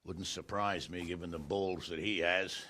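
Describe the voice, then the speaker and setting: An actor playing a bluff, teasing, bawdy nobleman in a video game, with a gravelly voice. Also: low voice, fairly even intonation, normal speed, bright, forceful tone. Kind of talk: video game cutscene acting.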